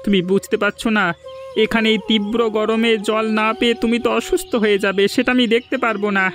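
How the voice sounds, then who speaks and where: Very high-pitched plaintive village husband. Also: male voice, steady tone, quick pace, fairly bright tone. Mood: worried, pleading. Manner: plaintive, earnest. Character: worried, downhearted village husband. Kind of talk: Bengali fairy-tale cartoon dubbing. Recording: music bed under the voice.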